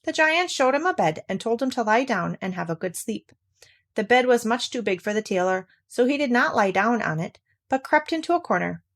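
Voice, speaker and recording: A woman's voice: fairly high pitch, animated, a little fast. A woman narrating a classic fairy tale, reading aloud from the text as a storyteller.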